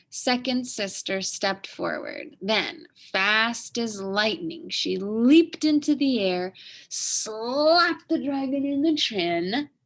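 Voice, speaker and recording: A woman with a fairly high, creaky voice reads a children's story aloud. She takes it slowly and dramatically, leaning on key words and pausing for effect. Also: fairly bright tone. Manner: dramatic, with emphatic pauses and sound effects. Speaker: storyteller reading a picture book aloud. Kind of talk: expressive children's read-aloud narration.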